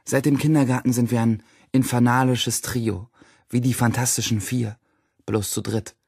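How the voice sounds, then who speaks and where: Man playing a wry teenage schoolboy, mid-pitched. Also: fairly even intonation, moderate pace, a little mellow, breathy edge, dry recording, clean studio sound. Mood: wry, bored. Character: wry, boastful teenage schoolboy, leader of his gang. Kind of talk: first-person audio drama monologue.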